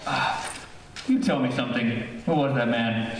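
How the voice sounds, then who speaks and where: A man's voice: medium pitch, measured pace, lightly acted. An actor playing a boastful, cowardly, middle-aged father in an old-time radio comedy, pompous and flustered. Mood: blustering. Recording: echoey hall from far off, faint hiss.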